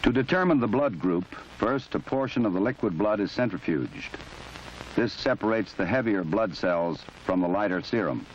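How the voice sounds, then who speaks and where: A man reading formal, measured, instructional narration for a training film, in a precise scripted documentary style. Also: lowish voice. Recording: muffled, noisy old 1970s film soundtrack.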